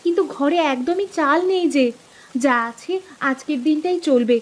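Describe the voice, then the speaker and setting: A woman playing an earnest, practical village farmer's wife in an acted story, with expressive delivery. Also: sits high, moderate pace, close to an everyday voice, poor audio. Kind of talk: acted Bengali moral story dialogue.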